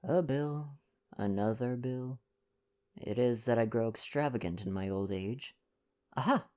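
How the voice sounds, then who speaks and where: A man voicing a fastidious, vain older Belgian detective from a storybook, speaking in formal, courteous foreign phrasing. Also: medium pitch, mellow, clean tone, natural voice. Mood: wry. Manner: formal foreign phrasing, sighing self-importance. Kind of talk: storybook dialogue reading.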